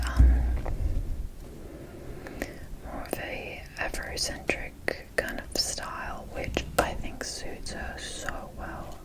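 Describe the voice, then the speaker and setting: A woman doing casual, unscripted ASMR in a breathy whisper, chatting in a rambling, gushing way close to the mic. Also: low-quality recording. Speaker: ASMR video creator.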